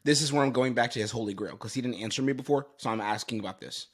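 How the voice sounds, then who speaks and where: A man's voice: mid-range voice, fast talker. A man giving explanatory commentary as a sales coach, stressing his points emphatically and repeating phrases.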